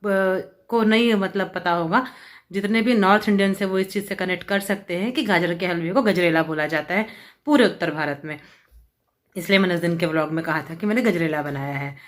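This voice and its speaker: A woman's voice: on the low side, fairly quick. A woman vlogger chatting casually to camera at home, telling a story with lots of fillers. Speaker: vlogger, homemaker. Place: at home, seated with hair dryer.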